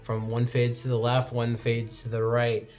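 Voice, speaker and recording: A man runs a tabletop roleplaying game as game master, narrating scenes in a medium-pitched voice. Faint music plays behind him. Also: monotone, normal speed. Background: faint background music.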